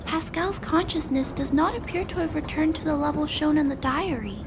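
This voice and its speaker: A woman voicing a gentle, curious little girl in a video game, thoughtful and earnest. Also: high-pitched, normal speed, background noise. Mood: gentle, reassuring. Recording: loud music behind the voice.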